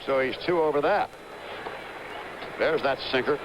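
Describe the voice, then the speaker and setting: A man's voice: mid-range voice, unhurried, dull audio. Man calling baseball over a noisy old broadcast. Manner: steady, stat-heavy calling of the action. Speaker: play-by-play announcer. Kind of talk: live baseball commentary. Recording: noisy 1970s broadcast recording.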